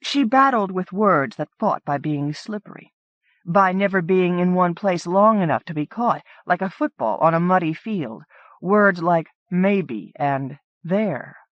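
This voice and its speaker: A woman reading a story as an audiobook narrator, in an expressive storytelling manner. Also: on the low side, unhurried, no room sound, dull audio. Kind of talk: scripted fiction narration.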